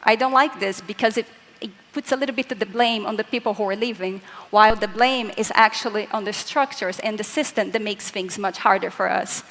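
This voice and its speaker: A woman's voice: medium pitch, a little fast, fairly bright tone. A young woman from Latin America, speaking English as a second language, gives an earnest, explanatory conference talk and addresses the audience directly. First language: not English. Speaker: physicist giving a conference talk. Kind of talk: conference presentation. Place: large conference hall, on stage. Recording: hall reverb from a large room.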